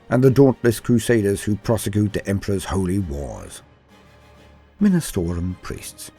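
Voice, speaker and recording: A man's voice: fairly low pitch, mellow, husky. A man delivering dramatic scripted narration in a theatrical, grandiose manner as a lore host.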